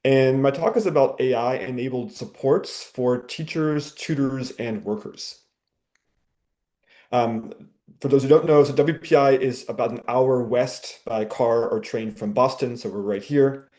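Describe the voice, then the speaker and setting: Male professor, mellow tone, measured explainer, webinar call. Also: medium pitch. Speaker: university professor giving a webinar talk. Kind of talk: presentation over a webinar. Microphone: video call.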